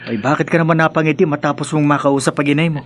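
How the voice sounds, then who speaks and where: A man's voice: fairly high pitch, steady tone, racing, soft background music, close to an everyday voice. A man playing a nosy, teasing young housemate in a radio drama, speaking inquisitively with a Filipino accent. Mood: teasing, curious. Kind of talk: horror radio drama acting.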